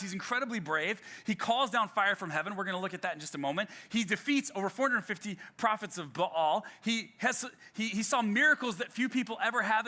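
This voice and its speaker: A man's voice: high voice, bright, forceful tone. A male pastor giving a sermon in a conversational way, with frequent fillers and restarts and some self-deprecating humor.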